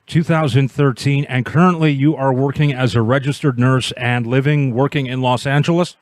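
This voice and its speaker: A man of middling pitch hosts the program and leads the interview. He asks in a measured, politely probing way. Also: fairly even intonation, dry recording. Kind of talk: interview hosting.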